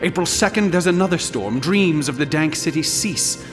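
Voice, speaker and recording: An actor playing an intent investigator, narrating deliberately in a radio drama. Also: male voice, a little high, lively intonation, lightly acted. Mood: intent, brooding. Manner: deliberate, listing dates. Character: intent investigator piecing together strange events. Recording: music playing behind the voice.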